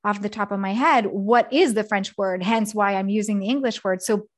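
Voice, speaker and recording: Thoughtful woman podcast host. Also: mid-range voice. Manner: thoughtful, affirming, measured. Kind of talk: conversational podcast interview.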